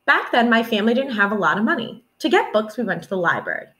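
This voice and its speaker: A young woman reading a children's picture book aloud in an expressive, deliberate teacherly storytelling manner. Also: on the high side. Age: young adult. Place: small room at home, indoors.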